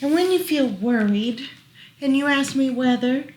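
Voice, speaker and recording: A woman reading picture books aloud to small children as a storytime librarian, gently explaining as she goes. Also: sits high, poor audio. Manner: gentle, unhurried, explaining pictures to little listeners.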